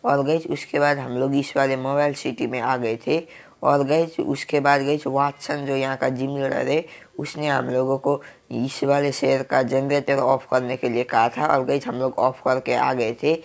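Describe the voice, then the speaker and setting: Hoarse man with a cold, gravelly. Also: mid-range voice, level tone, normal speed. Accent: Hindi. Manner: filler-heavy, keeps saying "guys". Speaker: gaming YouTuber. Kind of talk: casual game commentary.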